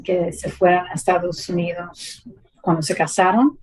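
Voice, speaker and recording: A woman's voice: fairly low pitch, level tone, moderate pace, a crowd in the background, rough recording. A woman in her forties from New Jersey, Portuguese-American accent, answering interview questions with hesitant fillers and restarts. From United States (New Jersey). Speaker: guest, pharma executive.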